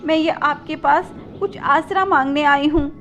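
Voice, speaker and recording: A woman voicing an earnest, gentle mother bird who pleads for help in a cartoon. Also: sits high, unhurried, slight background noise, pitched above the performer's own voice. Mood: pleading.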